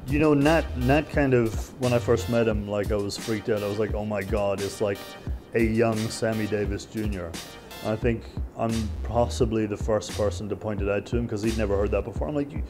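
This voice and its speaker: Forties man from Ireland, low, talkative interview; music bed. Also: fairly even intonation, fairly quick, few pauses. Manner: chatty, rambling. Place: indoor venue hallway.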